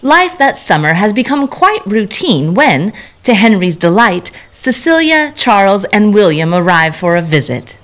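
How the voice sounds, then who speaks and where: A woman's voice: mid-range voice, big pitch swings, unhurried, hardly any pauses. A woman playing the storyteller of a Victorian gothic mystery, giving scripted audio drama narration. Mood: scene-setting.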